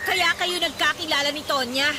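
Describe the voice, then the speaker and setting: A woman's voice: high-pitched, moderate pace, heavy background noise, lightly acted. An actress playing a scornful, betrayed girlfriend who confronts and accuses, in radio drama style. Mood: accusing, hurt. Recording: loud music under the voice.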